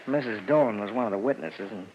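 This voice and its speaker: An actor playing a weary but kindly police detective, talking casually in an old radio drama. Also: male voice, lowish voice, quick pace, natural voice. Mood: matter-of-fact. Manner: casual, conversational. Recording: dull old radio with faint noise.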